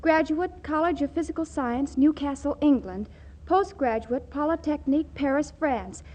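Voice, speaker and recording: An actress playing an excitable, lovelorn teenage daughter in a melodramatic 1940s radio comedy style. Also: female voice, sits high, moderate pace, natural voice. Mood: eager, reading aloud. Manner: melodramatic, tearful. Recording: old 1940s radio broadcast.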